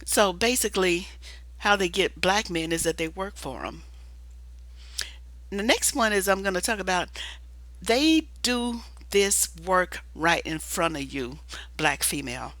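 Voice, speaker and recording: A hoarse woman who is losing her voice talks in a casual, rambling monologue, restarting often and filling pauses with "uh" and "um". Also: fairly low pitch, animated, a bit bright, dry recording. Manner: rambling, restarts with many fillers. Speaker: video commentator.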